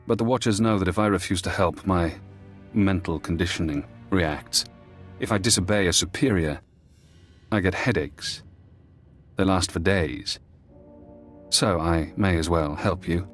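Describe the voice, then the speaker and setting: An actor playing a calm, measured intelligence operative in a video game, precise and detached in delivery. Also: male voice, deep voice. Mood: calm, sardonic. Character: calm, clinical male intelligence operative held captive by conditioning. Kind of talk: video game dialogue acting. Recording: music behind the voice.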